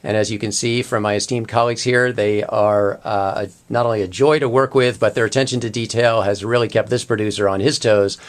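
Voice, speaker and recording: A man talking in a halting, measured way as a theatre producer promoting a show in a radio interview. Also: fairly low pitch, steady tone.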